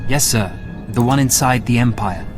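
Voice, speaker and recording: An actor playing a dutiful, earnest intelligence analyst in a video game, speaking in a businesslike way. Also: male voice, medium pitch, moderate pace, over music, lightly acted. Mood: earnest briefing.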